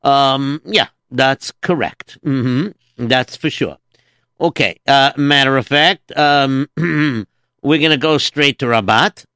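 A man's voice: mid-range voice, slow, sharp tone, clear, resonant tone, no echo. A man hosting a comedic children's story show, patient and dryly teasing toward his sidekick. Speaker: storyteller host.

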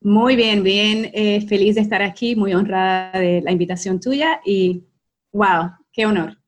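A Puerto Rican woman in her forties talks as an interview guest. She tells her story in a measured, easy way and draws out the words she wants to stress. Her voice sits at an ordinary pitch for a woman. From Puerto Rico. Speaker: guest, life coach and clinical hypnotist.

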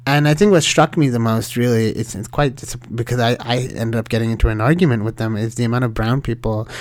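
A young man from Sri Lanka telling a story in casual conversation, animated and rambling, with many fillers and restarts. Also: mid-range voice, quick pace, no room sound.

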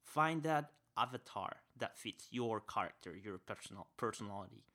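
A young man with a Spanish-tinged accent hosts a podcast. His voice sits low yet rings bright and forceful. He talks with energy, repeating his main points and checking in with "right?". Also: moderate pace. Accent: Spanish-speaker's accent. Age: young adult. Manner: energetic, repeats key phrases, says "right?" often. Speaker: podcast host. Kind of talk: podcast interview and solo wrap-up.

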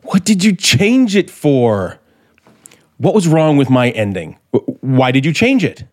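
An American man acting a bitter, sardonic, frustrated scriptwriter in a 1950s radio drama, deliberate and intense. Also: mid-range voice, sing-song, a little mellow. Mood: bitter, indignant. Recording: vintage 1950s radio recording.

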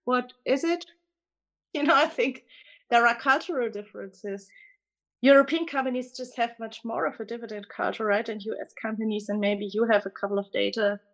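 A woman's voice: a little high, moderate pace, a bit bright, lo-fi sound. A woman with a German accent, a guest analyst explaining dividend trends in a conversational interview, fluent with frequent fillers.

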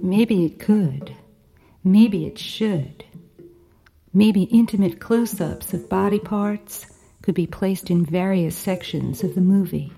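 A woman narrating an audio story, musing on film ideas in measured, short phrases. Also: mid-range voice, creaky, mellow, faint music behind.

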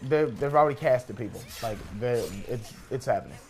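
A man's voice: medium pitch, fairly quick, a little mellow. A man speaking as a podcast co-host in a casual debate, insistent and repeating himself for emphasis.

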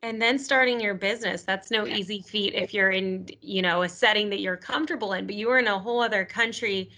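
A woman's voice: medium pitch, a little fast, bright, forceful tone. A woman hosting a podcast, reading a scripted intro and then chatting upbeat with her guest. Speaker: podcast host.

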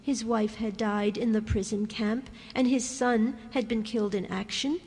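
A woman reading literary prose aloud in a slow, measured, solemn way. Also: fairly high pitch, steady tone, a little mellow, breathy edge. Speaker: reader. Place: church hall. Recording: reverberant church.

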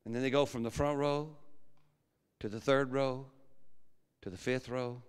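A pastor preaching a sermon with a big, projecting voice that swings from quiet low musing to loud fervent preaching. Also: male voice, fairly even intonation, measured pace, clean tone. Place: large church hall. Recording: long hall reverb.

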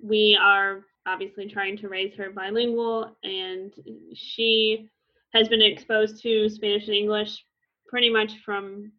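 Woman, thirties, casual talk, filler words, muffled recording. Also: mid-range voice. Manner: relaxed, with frequent fillers and restarts. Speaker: Spanish instructor. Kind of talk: casual conversational explanation.

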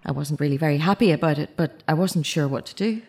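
Low-voiced, grieving middle-aged mother. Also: darkish tone, husky. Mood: grieving, restrained. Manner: halting, self-correcting, confessional. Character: grieving mother of a murdered teenage son, fragile. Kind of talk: naturalistic audio drama acting.

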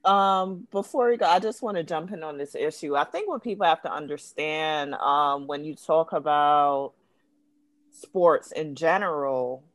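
A woman's voice: sits low, a little slow, no room sound. A woman on a podcast panel, explaining her view emphatically in a casual sports discussion.

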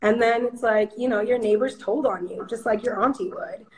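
A woman in her thirties with a Minnesotan accent, a lively guest who talks in casual slang. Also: on the high side, moderate pace, poor audio. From Iowa, USA. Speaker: guest, media founder. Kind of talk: casual interview.

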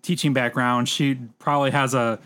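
A man's voice: mid-range voice, fairly even intonation, moderate pace, a bit bright, clean tone. A man chatting casually as a co-host on a film review podcast. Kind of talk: casual chat.

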